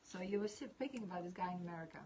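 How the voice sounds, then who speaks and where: A woman's voice: fairly low pitch, lively intonation, rapid-fire, crowd noise. A woman co-host chiming in with short prompts and laughing along in a casual talk.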